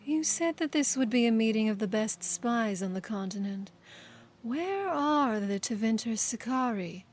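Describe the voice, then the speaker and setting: A woman's voice: on the high side, expressive, unhurried. Woman reads disapproving sorceress; haughty, sneering, sniffs at everyone. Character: pale, disapproving female death mage.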